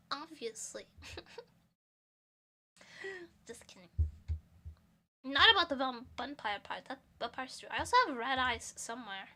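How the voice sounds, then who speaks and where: A young woman from Portugal chatting casually on a stream, answering chat with frequent giggles, swinging between loud bursts and soft mumbles. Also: high-pitched, lively intonation, slow, pauses often. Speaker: vtuber streamer.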